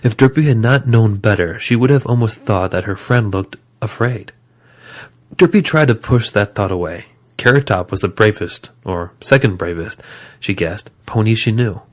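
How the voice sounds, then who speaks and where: Guy narrating an audio drama story, lowish voice, reading from a script. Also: normal speed.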